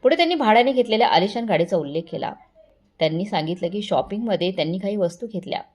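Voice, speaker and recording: A woman's voice: medium pitch, animated, rapid-fire, darkish tone. A woman storyteller reading a scripted first-person family story.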